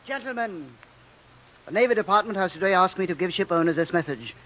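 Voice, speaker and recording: High-voiced, earnest Navy admiral. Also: male voice, lively intonation, brisk, over music. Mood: grave, official. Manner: formal, official, emphatic. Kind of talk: vintage radio drama acting. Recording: muffled old radio recording.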